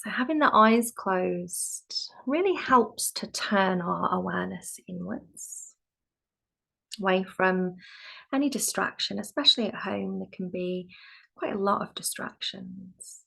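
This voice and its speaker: A woman teaching yoga nidra, guiding a relaxation meditation in a gentle, soothing, soft and sometimes breathy voice with long pauses. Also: mid-range voice, expressive.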